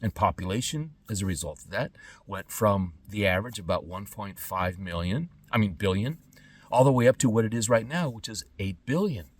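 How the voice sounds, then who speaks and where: A middle-aged man speaking an unscripted podcast monologue in emphatic, halting phrases with pointed pauses. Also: lowish voice, husky, far from the mic. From born in Trinidad and Tobago, lived in California. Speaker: podcast host.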